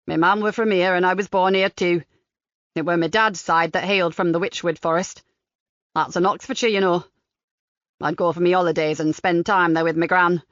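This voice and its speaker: A woman voicing a chatty, kindly old countryman gardener with a broad Lincolnshire dialect, slow and lower than her own voice. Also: steady tone, a bit bright, dry recording, lightly acted. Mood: folksy. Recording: slightly dull dry recording.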